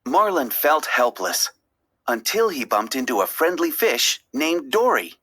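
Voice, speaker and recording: A woman reading a children's story aloud as an expressive, dramatic narrator. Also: sits low, measured pace, slightly rough. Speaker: storybook reader.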